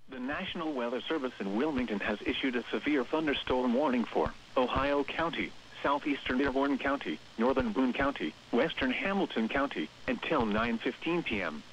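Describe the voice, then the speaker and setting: A synthetic-sounding male announcer reads scripted weather bulletins and warnings in a flat, evenly phrased delivery. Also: medium pitch, moderate pace, hardly any pauses. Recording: hissy, dull radio broadcast with noise. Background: radio hiss.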